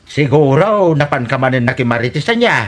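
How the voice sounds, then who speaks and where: A woman plays a disapproving relative in an Ilocano radio comedy. The voice is low and lively in pitch, with an Ilocano accent. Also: fairly quick.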